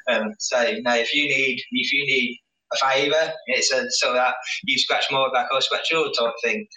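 A man working in finance, speaking candidly as a podcast guest, self-deprecating and halting with many restarts. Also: medium pitch, steady tone, sharp tone. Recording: echoey room, distant mic.

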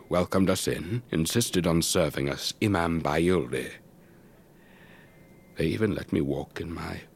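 An actor playing an elderly English retired air force officer, telling his story in a measured, wistful, old-fashioned way for a radio drama. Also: low voice, full character voice. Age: older. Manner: measured, old-fashioned, reflective, breathy trailing phrases. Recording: faint background noise.

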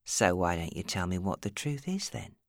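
A woman's voice: a bass voice, big pitch swings, normal speed, creaky, dry recording, voice pushed down, exaggerated voice. A woman voicing a smug, bullying male club boss in an audiobook, sneering and contemptuous. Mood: quiet menace. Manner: sneering, contemptuous, hissing when angered. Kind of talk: audiobook character acting.

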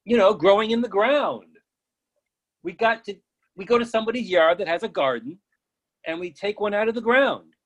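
A man's voice: high voice, animated, normal speed, long pauses, rough recording. A man reading the part of an oddly fixated, stubborn friend who argues silly logic earnestly in a comic play reading.

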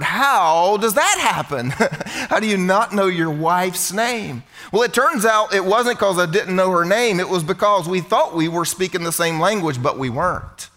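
A middle-aged pastor preaches with a bright, somewhat high voice. He jokes with the congregation and keeps his delivery conversational in a church hall. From United States. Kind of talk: sermon.

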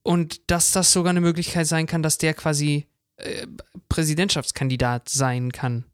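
A man's voice: a little high, level tone, normal speed, smooth, no room sound, studio quality. A man co-hosting a podcast in casual chat. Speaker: podcast co-host.

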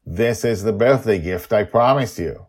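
A man's voice: on the low side, measured pace, darkish tone, husky, pitched above the performer's own voice. A man voicing a fond, reassuring young man in an adventure story. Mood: proud.

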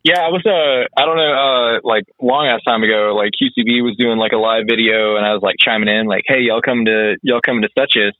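A man in his mid thirties, a guest in a casual chat, easygoing and halting, full of fillers. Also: mid-range voice, steady tone, no echo. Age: 30s. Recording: tinny phone-like call line.

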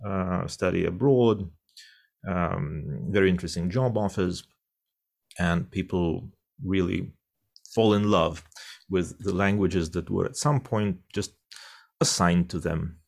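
Deep-voiced man, thoughtful. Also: gravelly, a little mellow. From Russia. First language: Russian. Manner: thoughtful, many fillers and restarts. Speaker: interpreter. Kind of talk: conversational interview answer.

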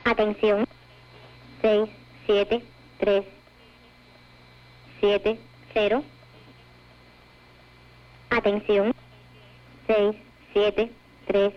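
Slow, monotone woman on muffled shortwave. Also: mid-range voice, very noisy. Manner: solitary, detached recitation. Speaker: numbers station announcer. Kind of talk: reciting numbers on shortwave radio. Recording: muffled shortwave radio broadcast.

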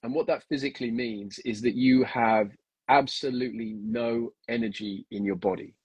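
A man's voice: mid-range voice, moderate pace, breathy edge, no room sound. A man from Kenya with a mixed British and Australian accent, explaining things in a casual interview with many ums and restarts. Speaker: guest endurance coach.

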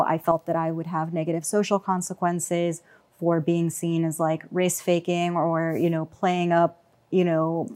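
A woman with a slightly low voice talks through her thoughts in a casual panel discussion. She is reflective and searches for words, leaning on frequent fillers as she goes. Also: darkish tone. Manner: reflective, many fillers like "you know" and "like". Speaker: panel guest.

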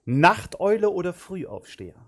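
A man hosting a podcast, putting quick quiz-style questions to his guest in a casual interview. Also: high voice, sing-song. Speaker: podcast host.

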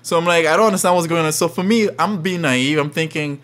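A man from Saint Kitts, a podcast guest telling a story in lively conversation, often laughing and acting out what people said. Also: on the high side, fast talker. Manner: animated, laughs often, acts out quotes.

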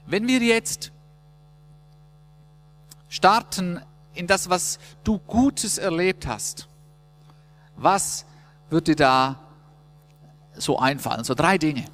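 Guy hosting a church chat, high voice, slow friendly questions, lots of reverb. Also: fairly bright tone, soft background music. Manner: friendly, joking, unhurried questions. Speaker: interview host in church service. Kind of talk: casual interview. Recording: long reverb.

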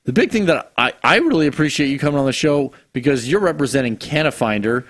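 A man with a raspy voice hosting a casual live stream, chatty and laid-back. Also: medium pitch, expressive, fast talker, a bit bright, no room sound. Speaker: show host.

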